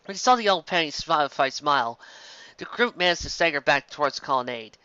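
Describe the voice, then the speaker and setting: A man reading fan fiction aloud as an amateur narrator, expressive and occasionally stumbling over words. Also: fairly high pitch, a little fast, clean tone, no room sound, dull audio.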